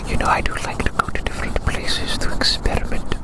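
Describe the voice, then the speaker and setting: This is a fully whispered voice in an outdoor ASMR ramble. The delivery is soft and unvoiced, wandering along at a gentle pace. Phrases are often repeated, as if to let each one settle. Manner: repeats phrases softly and slowly. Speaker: ASMR video creator. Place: outdoors at park benches and tables.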